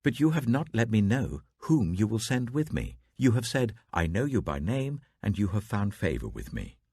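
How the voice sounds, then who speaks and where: British guy acting a troubled prophet in a bible audiobook, pleading and earnest, deep husky voice that swings a lot. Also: sing-song, normal speed, mellow, no echo. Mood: earnest entreaty. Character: Moses, an earnest, troubled male leader pleading.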